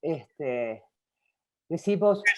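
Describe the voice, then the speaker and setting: A woman's voice: sits low, lively intonation, drawn-out words, warm tone, highs cut off. A woman from Uruguay with a Rioplatense Spanish accent, a film director answering interview questions in a reflective way, with many fillers and restarts.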